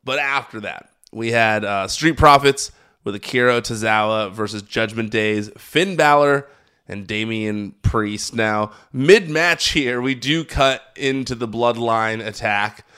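A man's voice: mid-range voice, unhurried, clean tone. Hyped-up man hosting a wrestling podcast. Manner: energetic, hyped-up and chatty. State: recovering from illness. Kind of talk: casual podcast recap.

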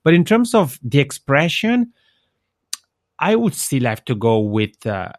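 A man with Italian as his mother tongue talks as an interview guest in a mid-pitched voice. He is thoughtful and explanatory, reworking his sentences as he goes. The sound is close and dry. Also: sing-song, unhurried, no echo. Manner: reflective, self-correcting, explanatory. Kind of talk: conversational interview answers.

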